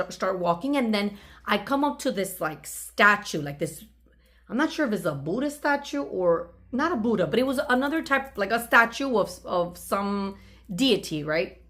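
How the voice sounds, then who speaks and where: A woman's voice: mid-range voice, fairly bright tone. A woman hosting her own channel, telling a personal story in a casual, conversational way, acting out bits of dialogue as she goes. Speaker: video channel host.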